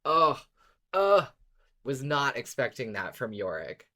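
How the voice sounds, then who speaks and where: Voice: medium pitch, big pitch swings, slow, sharp tone. A podcast co-host talking casually and enthusiastically, agreeing emphatically and repeating words. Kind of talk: casual fan discussion.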